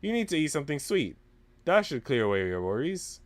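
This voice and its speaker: Man voicing an arch, flirtatious servant woman, animated. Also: a little high, fairly quick. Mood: coaxing, playful.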